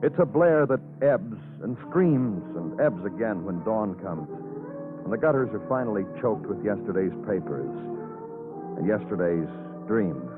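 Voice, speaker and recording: An American actor playing a weary, poetic New York detective in a 1950s radio crime drama, with deliberate, lyrical phrasing. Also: male voice, fairly low pitch, lively intonation. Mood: brooding. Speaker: lead actor and narrator. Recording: tinny old radio broadcast, music behind.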